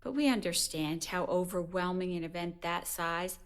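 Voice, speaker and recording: A woman's voice: lowish voice, normal speed, smooth, close to an everyday voice. A woman playing a patient, cautious middle-aged mother of a grown son in an audio drama. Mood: supportive.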